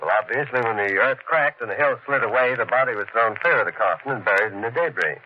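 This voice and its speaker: An actor plays a proper country doctor in a vintage radio mystery. His mid-pitched male voice moves widely as he speaks, earnest and formal. The recording is dull, with faint noise. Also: expressive, quick pace, natural voice. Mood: reasoning. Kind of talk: 1940s radio mystery acting. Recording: muffled, faintly noisy 1940s radio recording.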